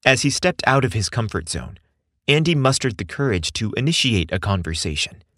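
A man narrating a romantic story in an expressive, earnest way, recorded close on a studio mic. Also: lowish voice, moderate pace, dry recording. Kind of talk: scripted narration.

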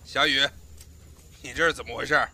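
A man's voice: mid-range voice, fairly even intonation, moderate pace, pushed and bright, slight background noise. A man playing a strict, impatient film director who scolds, in an audio drama. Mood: exasperated.

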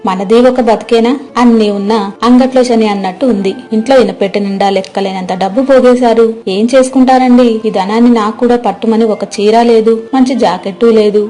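A woman's voice: fairly high pitch, mellow, lightly acted. A woman voicing a kind-hearted, exasperated village wife in a story, complaining reproachfully. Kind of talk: scripted moral story dramatization.

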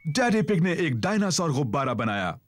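A man's voice: a little high, sing-song, normal speed. Man narrating a cartoon story.

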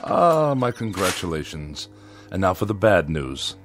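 A man's voice: low-pitched, big pitch swings, measured pace, a little hiss or hum. An actor in a radio drama playing a pompous, irascible older man with haughty, florid diction. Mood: condescending. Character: pompous, irascible older man who despises machines and people. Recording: radio drama with music behind.

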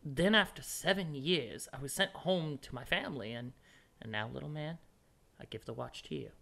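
A man voicing a solemn military veteran in a halting, earnest monologue. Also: mid-range voice, vocal fry, bright, forceful tone. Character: solemn military veteran telling an absurd tale.

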